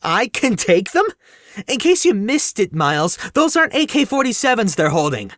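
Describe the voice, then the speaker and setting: A woman acting as a hesitant teenage boy in an audio drama, anxious and easily exasperated. The voice swings widely in pitch and is recorded very dry. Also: sing-song, normal speed, a bit bright, dry recording. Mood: flustered.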